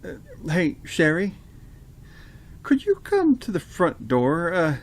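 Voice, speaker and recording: A man acting as a genial but creepy radio theater host, with a theatrical, smoothly polite delivery. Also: fairly high pitch, wildly swooping pitch, slow pace, slight background noise, heavily acted. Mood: casually polite. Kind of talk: old-time radio host introduction and acting.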